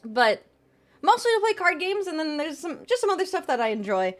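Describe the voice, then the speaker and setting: A woman's voice: very high voice, very animated, brisk. A woman VTuber streamer chatting casually with her viewers and giving tarot readings. She laughs often and trails off and restarts as she talks.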